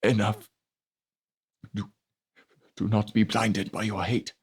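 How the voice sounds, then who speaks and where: Man playing a weak wounded man, low, halting. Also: little pitch movement, vocal fry, breathy edge, exaggerated voice. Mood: weak, pleading. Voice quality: weak, shriveled, faint voice. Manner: halting, labored speech. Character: gravely wounded, weakened, gentle man.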